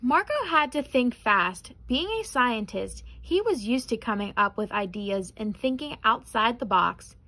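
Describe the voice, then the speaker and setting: A young adult woman reading a children's picture book aloud in an expressive, careful way. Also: a little high, unhurried, hardly any pauses. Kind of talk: children's storybook read-aloud.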